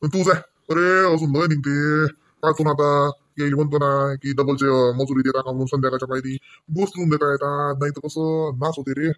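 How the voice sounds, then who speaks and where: Man voicing a low, grumbling old village father. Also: level tone, moderate pace, fairly bright tone, natural voice. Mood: grumbling, insistent. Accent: rural Marathi. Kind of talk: comedy cartoon dialogue.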